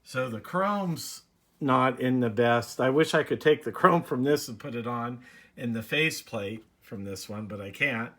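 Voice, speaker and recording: A man giving a casual, unhurried, rambling demonstration as a hobbyist presenting a video. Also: mid-range voice, fairly bright tone.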